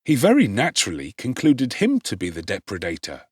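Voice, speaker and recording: A man reads literary narration with a slightly husky, textured voice. His pitch moves widely and expressively, and his phrasing is theatrical and emphatic. The sound is dry and close. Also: wildly swooping pitch, fairly quick, darkish tone, no room sound. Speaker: audiobook narrator.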